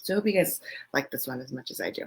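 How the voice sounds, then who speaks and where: A woman reading a picture book aloud to children in an expressive storytelling way, with casual, chatty asides. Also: rumbling low, rapid-fire.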